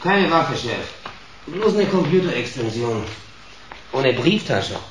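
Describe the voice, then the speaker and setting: An actor playing a dim, obedient police sidekick in a radio play, reporting in blunt, clipped phrases. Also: male voice, mid-range voice, sing-song, measured pace. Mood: dull, dutiful. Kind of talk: sci-fi detective radio play. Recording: echoey room, distant, noisy.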